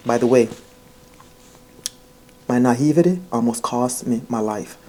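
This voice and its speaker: A man with a dark, mellow voice at a middle pitch. He talks informally to his viewers like a storyteller, earnest and emphatic. He repeats phrases for weight and often speaks straight to the listener. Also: measured pace. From Jamaica. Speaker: vlogger.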